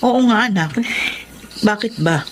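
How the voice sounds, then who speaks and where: A woman's voice: medium pitch, animated, a little slow, a little hiss or hum, natural voice. A woman acting a caring, probing mother of grown children in a Filipino radio drama. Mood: concerned.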